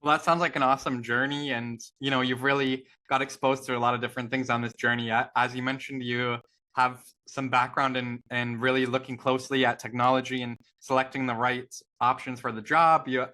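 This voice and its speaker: A man hosting a podcast interview, asking questions in a measured way with occasional stammered restarts. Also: mid-range voice, little pitch movement, pushed and bright. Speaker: podcast host.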